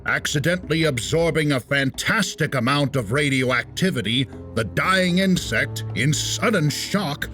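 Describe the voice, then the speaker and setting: Male comic-book narrator, theatrical showman impression, lively swooping intonation, faint music underneath. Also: fairly high pitch, unhurried. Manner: dramatic showman delivery, an impression of a famous comics writer.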